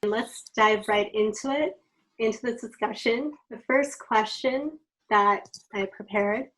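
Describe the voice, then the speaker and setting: A woman in her thirties from the United States, hosting a podcast discussion over a video call from her bedroom in a clear, measured way. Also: fairly high pitch, a bit bright, rough recording. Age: 30s. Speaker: podcast host. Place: bedroom at home, indoors. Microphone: video call from home.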